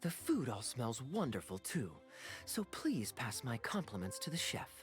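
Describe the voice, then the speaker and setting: An actor voicing a suave, easygoing adventurer in a video game, with playful charm and teasing, smooth phrasing. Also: male voice, medium pitch, big pitch swings, measured pace, faint music behind. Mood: suave, courteous.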